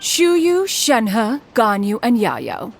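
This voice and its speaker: A woman's voice: fairly high pitch, wildly swooping pitch, extremely slow, vocal fry, heavy background noise, exaggerated voice. An actress playing a proud, aloof, learned immortal woman in a video game, with formal, archaic diction. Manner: formal archaic diction, refers to self as "one". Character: haughty, learned immortal woman living among mortals.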